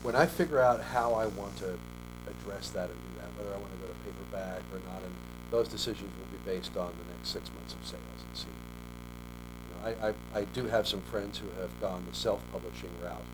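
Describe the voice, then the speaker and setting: Man, 40s, from Australia, low voice, relaxed rambling chat, TV interview guest, mains hum behind. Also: fairly quick. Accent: American English, faint Australian trace lost. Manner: relaxed, talks in long loose sentences with restarts. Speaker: author guest. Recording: mains hum under a local TV studio recording.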